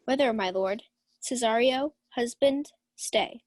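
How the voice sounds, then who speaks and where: Casting: young woman as an imperious noblewoman in love, high voice, dry sound, radio-play Shakespeare. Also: slow, no room sound. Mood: distressed. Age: young adult. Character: proud, passionate young noblewoman, a Countess in love.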